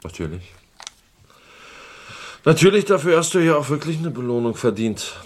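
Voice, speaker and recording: A man playing a smooth-talking, patronizing uncle with menace underneath in a German radio crime drama. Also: fairly high pitch, very animated, normal speed. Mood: coaxing, wary.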